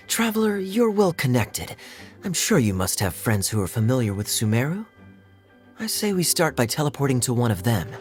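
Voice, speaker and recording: An actor voicing a suave, wry adult knight in a video game. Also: male voice, mid-range voice, close to an everyday voice.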